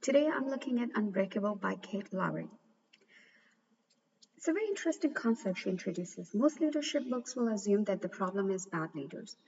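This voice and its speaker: A woman hosting a book review, talking through its ideas in an earnest, measured way and stumbling now and then. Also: mid-range voice, expressive, vocal fry, a little mellow. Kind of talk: reflective book commentary. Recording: dull, echoey recording from a distance.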